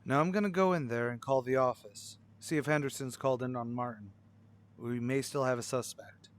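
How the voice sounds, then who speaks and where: A man's voice: mid-range voice, brisk, close to an everyday voice. Man acting a terse police detective, noisy track. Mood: worried. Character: gruff, dogged male police detectives on night duty. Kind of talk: old-time radio police drama dialogue.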